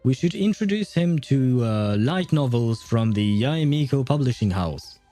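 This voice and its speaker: A man's voice: medium pitch, lively intonation, measured pace, mellow, soft background music. A young man chatting casually on a game livestream, thinking aloud and laughing often as he reads and reacts. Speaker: gaming livestreamer.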